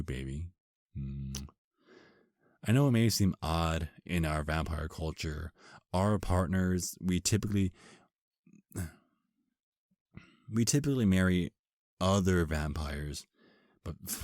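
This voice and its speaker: Guy doing a romantic vampire husband for asmr, super low voice, drowsy and halting, cocky but doting, close dry sound. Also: a little mellow, no echo. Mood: adoring, flirtatious. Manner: intimate, halting, drowsy pillow talk with pauses.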